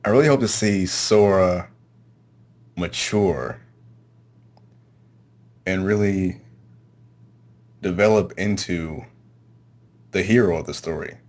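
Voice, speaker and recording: A man being interviewed as a guest, answering casually in brief, halting phrases with hesitant pauses. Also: sits low, a little mellow. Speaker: interview guest, amateur voice actor.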